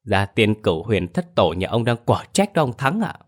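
A man voicing a sharp-tongued elderly mother-in-law who argues in a whiny, defensive way. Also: sing-song, moderate pace. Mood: scornful. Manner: shrill, whiny, argumentative.

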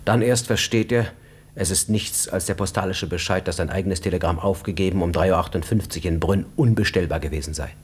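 A man's voice: low voice, brisk, husky. A man narrating a literary radio drama in an intense, terse and dramatic manner. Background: music.

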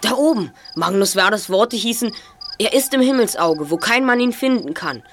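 Clever teenage boy sleuth for radio play, woman performer, expressive, self-assured, explains precisely; faint noise behind. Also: medium pitch, moderate pace, lightly acted. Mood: eager, deducing. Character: clever, slightly chubby teenage boy detective, sure of himself. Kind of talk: audio drama acting.

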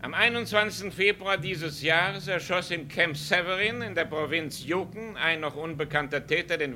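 An actor playing a stern, formal judge in a radio crime play, speaking in a measured, declamatory way. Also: male voice, a little high, sharp tone, slight background noise. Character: stern formal judge reading out a murder charge.

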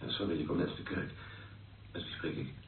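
Wary priest in radio drama, low male voice, coming thru a tinny echoey speaker. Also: a little fast, creaky. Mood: guarded. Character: guarded, hesitant male priest under surveillance. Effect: heard through a monitoring loudspeaker. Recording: distant echoing loudspeaker sound, tinny, faint noise.

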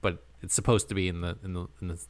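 A man's voice: deep voice, level tone, quick pace, slight background noise. A man co-hosting a casual podcast, recapping a show in a playful, joking way. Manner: joking, playful, laughs often. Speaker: podcast co-host.

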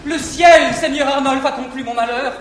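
A man's voice: extremely high pitch, fairly even intonation, normal speed, slight background noise. A man playing an anxious young lover urgently pleading for help in stage verse, in a reverberant 1959 theatre recording.

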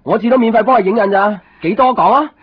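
A man's voice: high voice, fast talker, breathy edge, no room sound. An actor playing a lovesick, obsessive young man in a Cantonese radio drama with a Hong Kong accent, plaintive and insistent. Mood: agitated, accusing. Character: lovesick young copy-shop man obsessively searching for missing girlfriend. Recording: muffled 1990s radio drama recording. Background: music.

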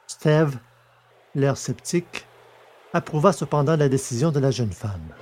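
A man's voice: mid-range voice, lively intonation, unhurried, a little mellow. A man reading scripted narration as the narrator and presenter of an audio drama podcast. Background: music.

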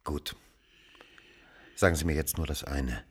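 Deep, husky investigator. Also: male voice, a little slow, exaggerated voice. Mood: insistent. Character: probing, controlled male investigator recounting a murder case. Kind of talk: German radio drama, first-person narration and questioning.

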